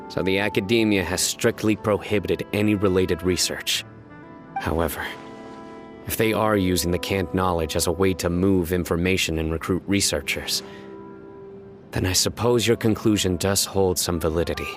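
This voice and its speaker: A man voicing a stern, solemn investigator in a video game, speaking in a measured, grave and formal way. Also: deep voice, steady tone, music in the background, close to an everyday voice. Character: stern, solemn young male investigator of few frills.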